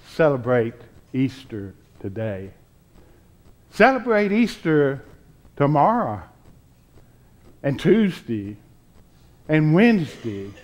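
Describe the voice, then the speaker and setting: Slow-paced older American preacher, a man. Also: mid-range voice. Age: 60s. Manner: deliberate, with short phrases and frequent pauses. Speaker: pastor. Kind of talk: sermon. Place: church sanctuary, at a pulpit before a choir.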